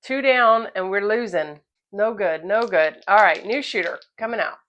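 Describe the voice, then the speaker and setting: A woman's voice: medium pitch, normal speed, fairly bright tone, lo-fi sound. A woman hosting a craps channel, calling each roll and explaining a betting strategy, her voice a little off from a cold. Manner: casual, explanatory, calls each dice roll. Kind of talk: play-by-play commentary while testing a betting strategy.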